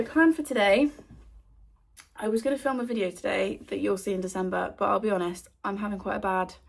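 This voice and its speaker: Chatty woman with a gravelly voice. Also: mid-range voice. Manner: chatty, rambling, with frequent ums. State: breathless and wheezy from a cough. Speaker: book vlogger. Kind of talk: casual vlog chat. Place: at home.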